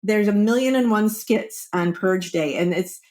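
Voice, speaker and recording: A woman's voice: mid-range voice, a little slow, darkish tone. A woman speaking as a wellbeing coach in an interview, explaining her advice conversationally and voicing little example quotes. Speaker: wellbeing coach, podcast guest.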